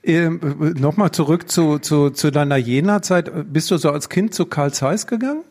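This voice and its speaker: A man's voice: on the high side, normal speed, darkish tone. A man hosting a live stage talk, asking questions with plenty of hesitant fillers. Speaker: host. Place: theater stage.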